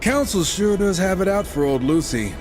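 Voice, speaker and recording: A cocky young horseman in a video game, forever teasing and sarcastic. His casual voice sits fairly high and bounces around, over clear music. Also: expressive, normal speed. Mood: needling. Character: cocky, wisecracking young male horseman, irreverent brother. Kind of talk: video game cutscene acting.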